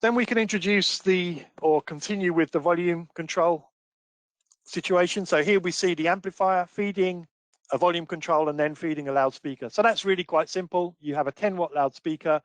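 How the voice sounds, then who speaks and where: A man presenting a technical webinar as a trainer, explaining step by step with examples in a measured way. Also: high voice, dry recording, low-quality recording.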